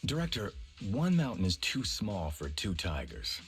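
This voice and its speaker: A man's voice: lowish voice, very animated, moderate pace, over music. A man voicing a proud, disdainful veteran tiger actor in a video game, with a haughty, pompous manner. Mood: impatient, haughty.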